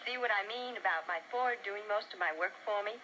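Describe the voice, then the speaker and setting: A woman giving a chatty, demonstrative scripted sales pitch in the style of a 1950s television car commercial, on a vintage soundtrack. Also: brisk, bright, forceful tone, slight background noise, poor audio. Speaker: commercial spokeswoman.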